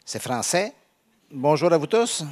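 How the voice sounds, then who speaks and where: A male pastor from Canada with a Québécois accent, preaching a sermon in a conversational, halting way with frequent fillers and restarts. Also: a little high, very animated. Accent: Québécois French.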